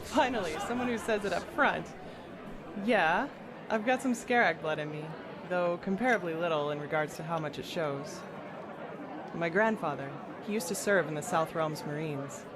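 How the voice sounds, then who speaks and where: A woman's voice: low-pitched, normal speed, crowd noise, lightly acted. A woman playing a frank, worldly adventurer in a fantasy game, talking in a casual, wry and blunt way. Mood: candid, animated. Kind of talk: scripted fantasy video game dialogue.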